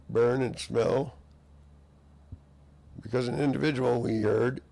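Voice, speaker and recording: A hoarse man in a rambling monologue, drifting between half-finished sentences and mock-courtroom phrasing. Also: mid-range voice, darkish tone, no room sound.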